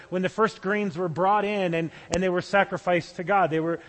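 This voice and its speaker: A man's voice: high-pitched, fairly bright tone, long reverb tail. A man preaching a sermon as pastor, talking in a conversational way with small restarts.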